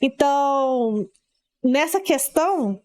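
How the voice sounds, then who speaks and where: A woman's voice: high voice, lively intonation, takes it slowly, darkish tone. A woman streamer reacting casually to a video, chatty and hesitant, with disgusted asides.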